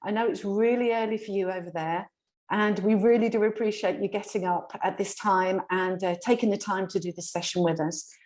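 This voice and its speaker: A woman's voice: medium pitch, fairly even intonation, fairly quick, darkish tone. A woman hosting a webinar over a video call, friendly and chatty, with small restarts as she goes. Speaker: webinar host.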